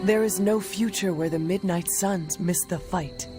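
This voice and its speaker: A solemn young hero who leads a supernatural team in a video game, played by a woman. The delivery is measured and formal, resolute and grave, over a music bed. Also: fairly low pitch, lightly acted. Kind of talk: video game cutscene acting.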